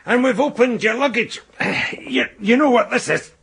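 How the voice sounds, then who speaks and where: An actor playing a manipulative, browbeating male police inspector in a radio crime drama, with a husky voice. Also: extremely high pitch, normal speed, a bit bright, a little hiss or hum, exaggerated voice. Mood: accusing, aggressive. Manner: insistent, browbeating interrogator.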